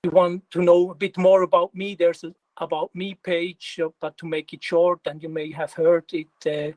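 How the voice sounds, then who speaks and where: A man in his fifties from Switzerland with a Swiss German accent, giving a technical presentation in an unhurried way, with many pauses and fillers. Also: sits high, flat delivery, darkish tone, no echo. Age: 50s.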